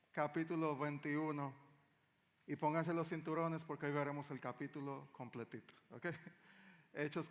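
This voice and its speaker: A male pastor with a Dominican accent delivers a sermon in a fairly high-pitched voice. His manner is conversational and leans on rhetorical questions. The sound carries long reverb, as in a large hall. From Dominican Republic. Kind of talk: sermon to a congregation.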